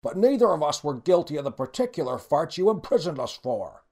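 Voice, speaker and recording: A man voicing a scruffy, earnest freed prisoner in a storybook, husky, stammering and folksy. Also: a little high, big pitch swings, fairly quick, darkish tone, voice pushed up. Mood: defensive, earnest.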